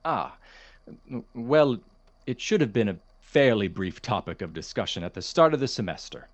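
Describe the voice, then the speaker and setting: An actor playing a courteous, dismissive chemistry professor in an audio drama, speaking in a formal, measured, gently condescending manner. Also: male voice, lowish voice, very animated. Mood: dismissive, patronizing. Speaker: substitute lecturer. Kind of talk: audio drama, lecture and office talk.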